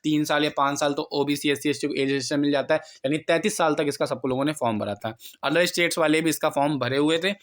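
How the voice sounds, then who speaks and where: Higher-pitched man explaining informally. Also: steady tone, quick pace, few pauses, fairly bright tone. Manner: repetitive, instructional, mixes in English words. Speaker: exam analysis presenter, teacher. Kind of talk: informal explainer addressing students.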